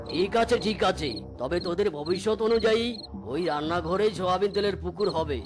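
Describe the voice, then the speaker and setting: Grumbling scheming fake holy man, higher-pitched male actor. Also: very noisy, lightly acted. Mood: crafty. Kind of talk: cartoon character acting.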